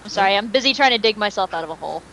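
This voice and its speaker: A woman's voice: medium pitch, expressive, very fast, background noise. A woman co-hosting a casual fan podcast, talking in a joking, playfully dramatic way. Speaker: podcast co-host.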